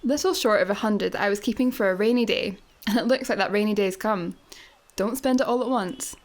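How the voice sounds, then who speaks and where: An actress with a Scottish accent playing a sardonic, level-headed space traveller in a sci-fi comedy radio play, dry, blunt and teasing. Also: female voice, medium pitch, fairly quick, natural voice.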